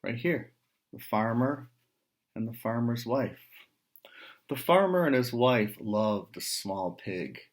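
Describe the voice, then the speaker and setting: A man reading a picture book aloud to young listeners, pausing between short phrases and adding casual asides and questions. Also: mid-range voice, lively intonation.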